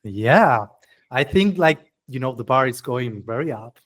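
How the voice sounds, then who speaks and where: A man with a mid-pitched voice hosts an informal meetup presentation. He sounds enthusiastic, often halting and repeating himself, with non-native-sounding English phrasing. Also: lively intonation, fairly bright tone. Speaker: meetup host presenting project proposals. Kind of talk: informal presentation to a tech community.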